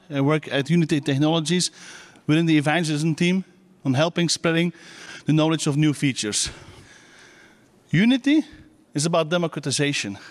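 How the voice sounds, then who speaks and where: A woman with a Belgian accent, an upbeat presenter welcoming a conference audience from the stage. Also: sits low. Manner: upbeat, slightly non-native phrasing.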